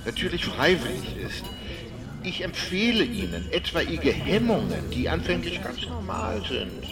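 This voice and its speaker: An encouraging seminar leader in a radio play. He speaks formally and wordily in a lively, varied mid voice, with music clearly behind. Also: a little high, a little slow, exaggerated voice. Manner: wordy, formal. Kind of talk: scripted welcome speech in audio drama.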